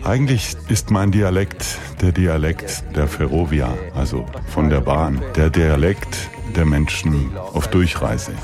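Very deep-voiced man over music. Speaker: voice-over translator. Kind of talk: calm read voice-over translation. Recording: voice-over with clear music underneath.

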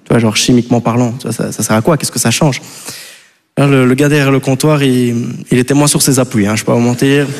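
A man's voice: mid-range voice, steady tone, fast talker, a little mellow. Rambling Belgian man doing stand-up. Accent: Belgian French. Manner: rambling and chatty, full of "tu vois" and "les gars" asides to the audience. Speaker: stand-up comedian. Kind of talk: live stand-up routine. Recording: long hall reverb from a live stage.

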